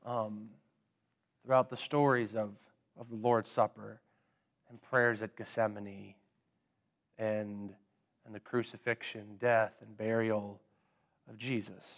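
A man in his thirties preaching a sermon in a church sanctuary, unhurried, often repeating words as he gathers his thoughts. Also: on the low side, flat delivery. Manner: unhurried, with frequent word repeats and pauses; standing and gesturing while preaching. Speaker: pastor.

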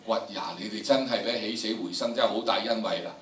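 Casting: man as deferential, fearful general in historical drama, Hong Kong Cantonese, bright exclaiming voice, echoey room. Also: fairly low pitch, quick pace, natural voice. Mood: deferential gratitude. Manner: exclaiming, pleading, theatrical. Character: frightened, deferential imperial generals pleading. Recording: echoey lecture hall, distant microphone.

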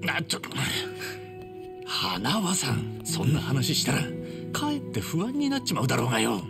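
A man playing a rough, good-hearted middle-aged ex-yakuza with blunt, casual speech in a game cutscene. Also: mid-range voice, huge pitch swings, moderate pace, loud music behind the voice. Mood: concerned. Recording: clear ambient and engine noise.

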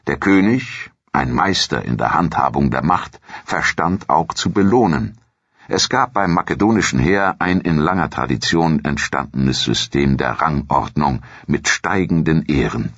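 A man with a gravelly, husky voice reads scripted documentary narration in a measured, formal storytelling manner. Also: rumbling low, a bit bright, dry recording, muffled recording.

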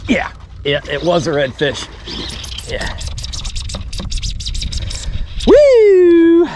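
A man in his thirties on a small boat, chatting excitedly and poking fun at himself as he fly fishes on camera. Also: extremely high pitch, extremely slow, slight background noise. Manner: excitable, chatty, self-deprecating. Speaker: fly fishing vlogger. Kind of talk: casual on-camera fishing narration. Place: small open boat on the water outdoors. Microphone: action camera on the boat.

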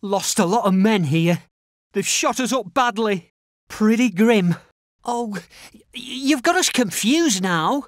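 Timid, high-pitched soldier. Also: male voice, lively intonation, takes it slowly, no echo, clean studio sound. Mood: worried. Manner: hesitant, unsure, polite. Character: timid, unsure British infantry soldier, eager to obey. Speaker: infantry soldier unit voice. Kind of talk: video game unit barks.

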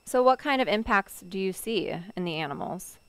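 A woman's voice: medium pitch, expressive, moderate pace, fairly bright tone, clear, resonant tone, no echo. A young woman hosting an educational program, upbeat and asking guided questions into a headset mic in a studio. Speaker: host. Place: studio set.

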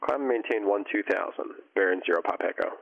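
Husky, fast male pilot over radio. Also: on the low side. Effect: aircraft radio. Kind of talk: clipped radio calls. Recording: dull, muffled aircraft radio transmission.

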